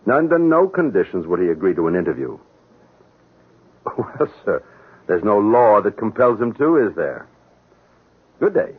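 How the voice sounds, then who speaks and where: An actor playing an uneasy executive accomplice in a 1970s radio drama, speaking in a formal, clipped, officious way. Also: male voice, fairly low pitch, sing-song, moderate pace, long pauses, a little hiss or hum. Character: smooth-talking, uneasy executive accomplice and lover. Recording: muffled, phone-like old radio recording.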